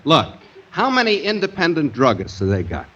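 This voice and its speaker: An American actor playing a flippant, clowning, food-loving freeloader pal in a 1940s radio comedy. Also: male voice, on the high side, huge pitch swings, moderate pace, bright, forceful tone, full character voice. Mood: smugly helpful. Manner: clowning, nonchalant. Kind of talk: 1940s radio sitcom acting. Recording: dull, very noisy 1940s radio recording.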